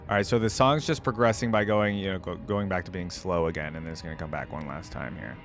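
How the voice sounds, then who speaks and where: Guy with a lowish voice doing a casual reaction vid, thinking out loud, music going behind him. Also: quick pace. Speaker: host of a game reaction video. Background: game theme music.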